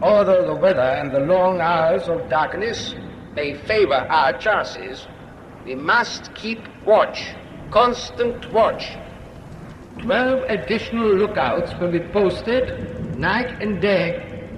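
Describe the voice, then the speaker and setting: Wartime naval commander, resolute oratory, slow delivery, roomy echo, radio drama. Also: male voice, sits high, a little hiss or hum. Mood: stern, rousing. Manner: oratorical, deliberate. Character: resolute wartime ship's commander addressing his crew. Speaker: actor.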